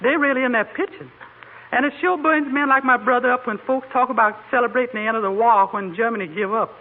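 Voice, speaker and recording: A man's voice: extremely high pitch, quick pace. A man playing a cheerful, sassy housemaid woman with a folksy, emphatic manner in 1940s radio comedy. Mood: earnest, proud. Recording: tinny, band-limited 1940s radio recording.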